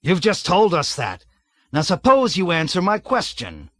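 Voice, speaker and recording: A man's voice: mid-range voice, big pitch swings, a little slow, a bit bright, no echo. A man voicing a roaring bullying army colonel. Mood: bellowing, bullying. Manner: roaring, hectoring, sarcastic. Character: bloated blustering male army colonel, bullying. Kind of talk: audiobook character voice.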